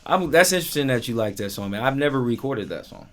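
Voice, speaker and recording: A man hosting a podcast, chatting casually and telling stories in an animated way with frequent check-in questions. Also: medium pitch, fast talker. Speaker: podcast host, musician.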